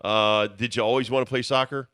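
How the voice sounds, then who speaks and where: A man's voice: medium pitch, steady tone, brisk, a bit bright, clean tone, dry recording, studio quality. A male co-host on a sports talk radio show, asking casual, rambling questions.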